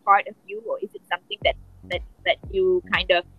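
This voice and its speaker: A young woman, hosting a playful video-call interview in a bubbly, expressive way. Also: fairly low pitch, fairly quick, low-quality recording. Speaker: radio show host. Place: indoor studio room.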